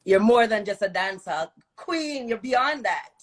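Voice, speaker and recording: A woman's voice: mid-range voice, animated, a little slow. A woman hosting a casual live-stream interview, encouraging and affirming, often repeating her phrases as she goes. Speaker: host, interviewer.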